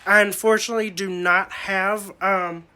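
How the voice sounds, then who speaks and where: A man's voice: high voice, little pitch movement, normal speed, pushed and bright. A man presenting an informal, hands-on demonstration of antique recording equipment, explaining each step as he goes.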